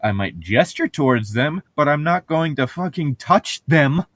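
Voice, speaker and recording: A man's voice: fairly high pitch, expressive, unhurried, a bit bright, no echo. A man reading aloud casually and breaking off for sarcastic, sweary commentary as co-host of a comedic read-along.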